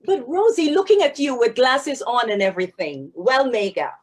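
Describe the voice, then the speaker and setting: A woman hosting an interview, asking questions in a halting, friendly way. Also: a little high, animated, slightly rough. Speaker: interview host. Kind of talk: casual interview.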